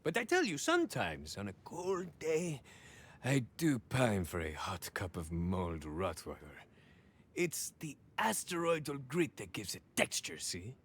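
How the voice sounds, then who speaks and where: Video game hero: swaggering male cyborg soldier, Mexican-American accent, very animated melody, hesitant rambling asides peppered with Spanish. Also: medium pitch, unhurried, husky, full character voice. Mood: wistful. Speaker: playable game character. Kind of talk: video game idle monologue acting.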